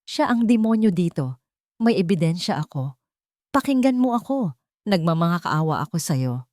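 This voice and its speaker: A woman's voice: on the low side, sing-song, moderate pace, darkish tone, dry recording, clean studio sound. A woman voicing an older nanny who pleads desperately, crying, her voice breaking. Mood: pleading.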